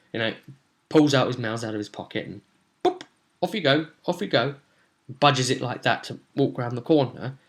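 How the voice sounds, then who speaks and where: Bright-voiced young man, rambling storyteller. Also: lowish voice. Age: young adult. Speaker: tabletop role-play game master, vlogger. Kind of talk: casual recounting of a game session. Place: small room at home, indoors.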